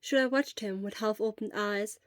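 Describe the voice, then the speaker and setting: A woman's voice: mid-range voice, moderate pace, dry recording. A woman reading scripted story narration as the narrator of a fan fiction audiobook. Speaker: podfic narrator.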